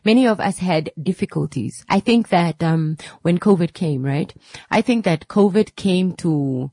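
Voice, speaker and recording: A woman's voice: on the low side, expressive, measured pace, warm tone, dry recording, clean studio sound. A woman, hosting a reflective motivational talk and taking calls, often checking in with "right?". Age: 30s.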